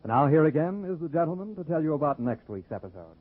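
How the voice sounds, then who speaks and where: A man's voice: fairly high pitch, big pitch swings, fast, husky. A man announcing in formal 1940s radio style, reading out the programme and credits. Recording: tinny, phone-like old radio recording. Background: theme music.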